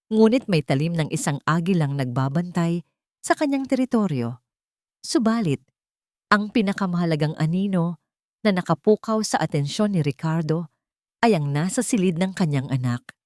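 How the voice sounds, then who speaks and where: A woman with a Filipino accent narrates a dramatic audio story. Her voice sits a little low. The recording is dry, with no room sound around her. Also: expressive, normal speed, long pauses, a little mellow, no echo.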